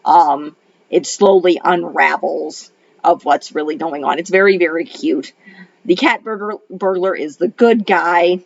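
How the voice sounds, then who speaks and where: A woman's voice: lowish voice, a little slow, gravelly, no room sound. A middle-aged woman, a retired librarian and homeschool mom, rambles through a casual film review at home while her voice is still thrown off by a cold. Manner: rambling, many ums and restarts. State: recovering from a cold, voice a little off. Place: home in Arizona. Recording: dull lo-fi audio.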